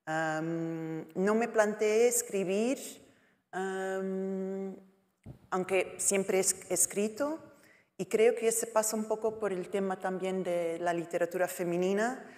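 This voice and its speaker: A woman speaking in her second language with a Portuguese accent, a writer on a panel, halting and searching for words. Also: medium pitch, steady tone, darkish tone. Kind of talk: panel talk. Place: lecture hall. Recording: long hall reverb.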